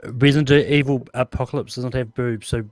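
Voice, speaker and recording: A man with a medium-pitched voice talks as one of a panel. His delivery is casual and conversational, like someone chatting among a group. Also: steady tone, fairly quick. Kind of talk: casual chat.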